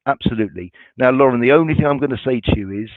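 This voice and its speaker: College guy answering webinar questions, lots of ums, mid pitch, tinny phone-ish sound. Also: very fast, no room sound. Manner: reassuring, informal, many restarts and ums. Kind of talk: webinar Q&A, reading out viewer questions.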